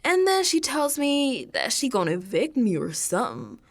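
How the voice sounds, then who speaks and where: Young american woman tenant coming unraveled, radio drama monologue, highish voice, really swoopy, hushed then emphatic. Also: huge pitch swings, moderate pace, exaggerated voice. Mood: agitated storytelling. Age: young adult. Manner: confiding storytelling, swinging from hushed to emphatic.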